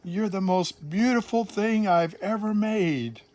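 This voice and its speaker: An older man voicing God as a joyful, loving creator in a storybook, with exclaimed, drawn-out greetings. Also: high-pitched, darkish tone, pitched above the performer's own voice. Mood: delighted approval.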